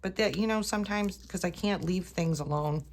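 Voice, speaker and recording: A woman's voice: lowish voice, fairly quick, a little mellow. A woman rambling casually to her viewers as she decorates a planner, correcting herself as she goes, her voice congested and a little off. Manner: chatty, self-correcting, talks to viewers while working. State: congested, voice a bit off. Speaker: planner vlogger. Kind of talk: casual rambling craft chat.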